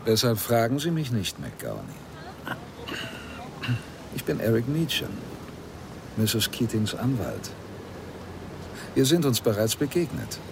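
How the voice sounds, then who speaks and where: A man's voice: medium pitch, wildly swooping pitch, slow pace, gravelly, pauses often, background noise, heavily acted. An actor playing a probing, insistent male lawyer who tells his own story in a German radio play, with a dry, pressing manner. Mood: pressing, sceptical. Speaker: radio drama actor, narrator.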